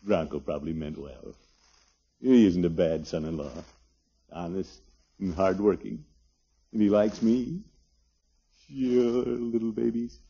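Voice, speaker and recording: An actor playing a blustery but soft-hearted middle-aged family man in a 1950s radio sitcom, muttering and blustering. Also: low-pitched, slow, creaky, pauses often, slightly rough, exaggerated voice. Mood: tender. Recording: muffled old 1950s radio recording.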